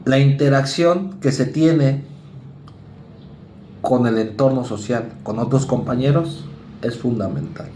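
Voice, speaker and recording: A man's voice: mid-range voice, dark tone, a little hiss or hum. Mexican man lecturing in an echoey room. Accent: Mexican Spanish. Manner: conversational, acts out students and parents in quick asides. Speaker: university lecturer. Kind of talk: recorded psychology lecture.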